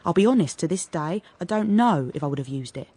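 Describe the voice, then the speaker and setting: A woman's voice: fairly low pitch, expressive, fast talker, mellow, slight background noise, close to an everyday voice. An actress playing a brash, combative young woman with a London accent, cheeky, blunt and streetwise, in a radio drama. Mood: defiant, reflective. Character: brash, combative, teasing working-class young woman, ex-soldier. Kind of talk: radio drama monologue and dialogue.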